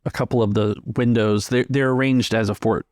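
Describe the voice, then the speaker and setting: A man improvising scene descriptions as a game master, pausing to pick his words in a measured way. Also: medium pitch, steady tone, darkish tone, clean tone, no room sound, clean studio sound. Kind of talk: improvised tabletop game narration.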